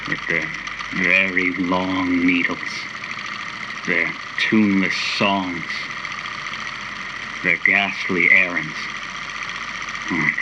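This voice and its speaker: A man playing a haughty, cultured tycoon who delivers a pompous, deliberate radio drama monologue. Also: low-pitched, very noisy.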